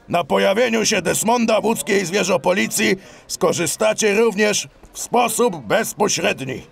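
A man playing a gruff, bossy animal police commandant in a children's audio drama, making loud formal announcements. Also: high-pitched, heavily acted.

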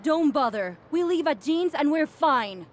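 A woman's voice: sits high, expressive, measured pace. A woman voicing a stern mother who lays down the rules curtly. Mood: cold.

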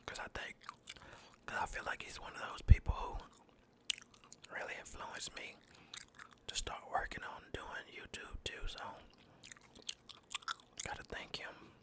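A tired man rambling in a soft, mostly unvoiced whisper over a game, close to the mic, trash-talking enemies as he plays. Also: takes it slowly, long pauses. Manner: rambling, laid-back, trash-talks the game. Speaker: gaming channel host. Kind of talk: whispered gameplay commentary.

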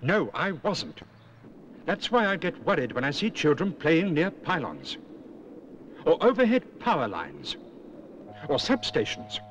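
A man's voice: fairly high pitch, wildly swooping pitch, measured pace, long pauses, fairly bright tone, a little hiss or hum, full character voice. A British man voicing a wise, stern cartoon owl who lectures emphatically about safety in a 1970s public information film. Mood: stern warning. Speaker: safety instructor. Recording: dull old film soundtrack with music.

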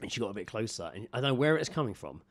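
A man's voice: lowish voice, very animated, rapid-fire, breathy edge. A man with a southern English accent co-hosting a podcast, telling anecdotes in a casual, jokey, sweary way. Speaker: podcast co-host.

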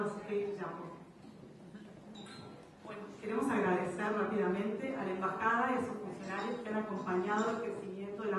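A young woman from Argentina giving a semi-formal speech into a handheld microphone in a large reception hall, listing items briskly with light asides. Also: medium pitch, far from the mic, a little hiss or hum. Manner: quick lists, with light asides. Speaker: event speaker. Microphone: handheld microphone at a podium. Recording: echoey hall, recorded from afar.

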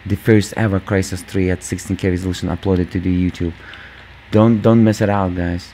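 A man hosting a gaming channel, talking casually over gameplay with a squeaky voice, lots of fillers, and calling viewers "guys". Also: level tone, a little fast, warm tone, a little hiss or hum. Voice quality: squeaky, just-woken voice. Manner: rambling, many fillers, addresses viewers as guys.

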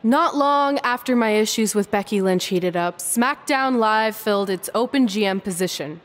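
A woman's voice: mid-range voice, normal speed, lightly acted. A woman playing a wry young champion wrestler in a video game, dry and conversational. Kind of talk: video game acting, narration and dialogue.

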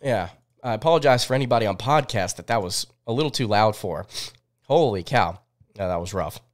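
A man's voice: fairly low pitch, lively intonation, fairly quick. A man in his twenties with a cold, hosting a sports podcast in a casual, informal way, thinking aloud into a close desk microphone. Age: 20s. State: sniffly with a cold. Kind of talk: casual live sports recap chat. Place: small indoor home studio.

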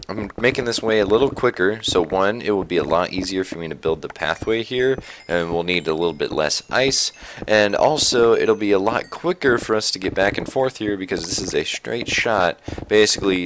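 A young man with a slightly raspy voice, chatting casually through his Minecraft survival gameplay with plenty of fillers. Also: low-pitched, noisy background. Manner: chatty, rambling, many fillers. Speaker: Minecraft YouTuber.

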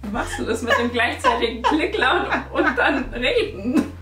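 A young woman joining a casual chat as a learner, amused and quick to laugh. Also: sits high, big pitch swings, far from the mic. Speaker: guest learner. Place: indoor room, on a couch. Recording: roomy room sound with music.

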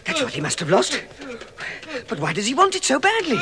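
A man's voice: extremely high pitch, huge pitch swings, fairly quick, noisy background, heavily acted. An actor playing a small, timid but plucky male hobbit in an audio drama, anxious and hesitant as he thinks aloud. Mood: puzzled. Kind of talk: dramatised audiobook radio acting.

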